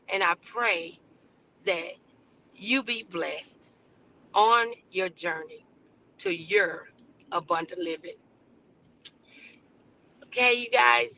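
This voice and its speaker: A woman hosting a motivational faith podcast, speaking off the cuff in an earnest, halting, preacherly way. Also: medium pitch, vocal fry, pushed and bright, highs cut off, slight background noise. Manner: preacherly, earnest, halting delivery with repeated phrases. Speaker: host of a motivational talk podcast. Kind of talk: inspirational faith talk, loosely improvised.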